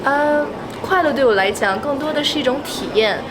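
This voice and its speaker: A woman whose first language is Mandarin, answering street questions thoughtfully and pausing to find her words. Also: sits high. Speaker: interviewee. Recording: noisy outdoor street audio.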